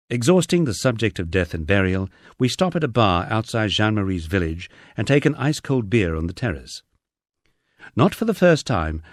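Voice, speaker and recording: A man's voice: fairly low pitch, no room sound, clean studio sound. A middle-aged man with an English accent reading a first-person memoir as a scripted narration. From England.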